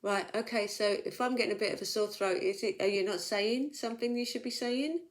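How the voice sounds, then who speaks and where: A woman's voice: medium pitch, a little fast. A woman giving a casual weekly tarot reading, chatty and reassuring, thinking aloud as she goes, with a slight sore throat. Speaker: tarot reader.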